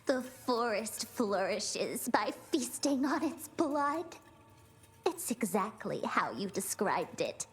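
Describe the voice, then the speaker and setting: Cold vampire lady, slow grand talk, kinda high, video game villain. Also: big pitch swings. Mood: cold reverence. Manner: slow, deliberate, grandiose delivery. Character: ambitious young vampire woman, cold, devoted daughter, scheming. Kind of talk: cinematic video game acting.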